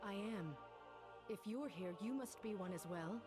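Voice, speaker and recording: A stern young vampire hunter from a video game, played by a woman. She is curt and serious, with a medium-pitched voice. Loud music plays behind her. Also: expressive, a little slow, close to an everyday voice. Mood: cool, guarded. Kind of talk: video game cutscene acting.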